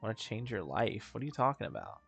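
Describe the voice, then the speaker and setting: A man's voice: lowish voice, sing-song, fast talker, vocal fry, a bit bright. A man streaming a video game, chatting casually and reading dialogue aloud with mumbly asides and stumbles. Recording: background game music.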